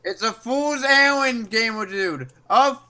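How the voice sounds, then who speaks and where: A man's voice: very high voice, slow pace, bright, forceful tone. A man bantering casually with a group of friends. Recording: faint background noise.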